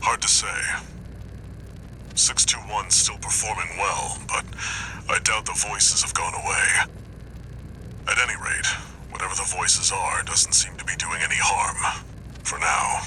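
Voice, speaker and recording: An actor playing a grave, weary older military handler in a video game, speaking tersely and deadpan. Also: male voice, sits low, a little slow, long pauses, a little hiss or hum, exaggerated voice, low-quality recording. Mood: weary, dry. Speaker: mercenary handler briefing a pilot. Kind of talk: video game voice acting, mission briefing.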